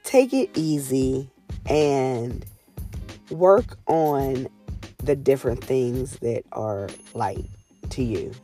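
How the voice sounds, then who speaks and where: A woman hosting her own podcast in a candid, casual monologue, her voice raspy and hoarse from a cold. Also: rumbling low, expressive, very slow, mellow, faint music behind. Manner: candid, earnest, swears casually. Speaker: podcast host.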